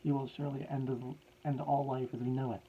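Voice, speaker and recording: A man's voice: mid-range voice, level tone, quick pace, dull audio, a little hiss or hum, pitched above the performer's own voice, close to an everyday voice. A man voicing a grieving middle-aged male scientist who pleads in a raised, strained voice. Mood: urgent.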